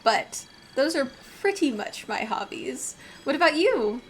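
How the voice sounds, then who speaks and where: A woman's voice: sits high, big pitch swings, unhurried, a little hiss or hum, low-quality recording. A woman chatting casually and enthusiastically as she comments on a game during a live stream. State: getting over a cold.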